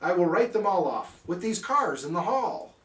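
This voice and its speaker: A man's voice: high-pitched, sing-song, moderate pace, a bit bright. A man voicing a gleeful, boastful frat-house trickster cat in a rhyming storybook parody. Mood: smug confidence. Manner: boastful, laughing, breezy. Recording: roomy, slightly distant microphone.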